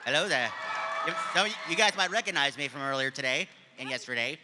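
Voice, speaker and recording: A man's voice: mid-range voice, animated, fast, background noise. A man from Iowa asking a fan question from the audience at a convention panel. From Iowa, United States. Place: large convention hall. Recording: echoing hall PA, long reverb.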